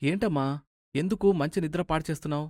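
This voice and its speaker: A man's voice: fairly high pitch, fast, a little mellow, no echo, clean studio sound, lightly acted. A man voicing an easygoing young husband who explains and placates in a story cartoon. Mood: sleepy annoyance. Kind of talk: Telugu moral story cartoon dialogue.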